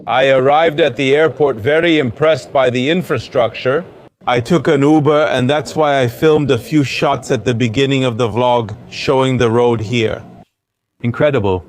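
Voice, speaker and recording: A man's voice: medium pitch, normal speed. A male travel vlogger talks in an easy, chatty way while waiting at a crowded airport gate. His nose sounds a little stuffed up. A noise-cancelling clip-on mic keeps his voice close and clean. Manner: relaxed, chatty, explaining as he goes. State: a bit congested. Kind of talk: casual travel vlog chat. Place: busy airport terminal. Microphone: wireless clip-on mic with noise cancelling.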